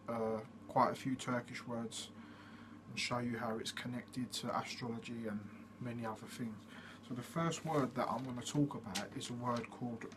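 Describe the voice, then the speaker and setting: A man hosting his own channel, giving a casual explanatory monologue in a steady way, with frequent fillers. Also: mid-range voice. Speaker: channel host. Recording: noticeable background noise.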